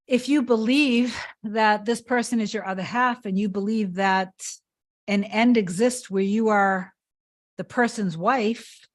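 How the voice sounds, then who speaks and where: A woman coaching on a live stream, answering chat comments in an earnest, emphatic way and often repeating herself. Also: medium pitch. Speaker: manifestation coach.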